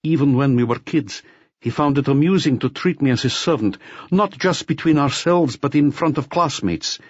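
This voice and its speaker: A man's voice: mid-range voice, moderate pace, dry recording, lo-fi sound, pitched above the performer's own voice, close to an everyday voice. A man voicing a nervous, intense criminal who talks in an agitated, confessional way in an audiobook. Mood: bitter, confessing. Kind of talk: audiobook character voice.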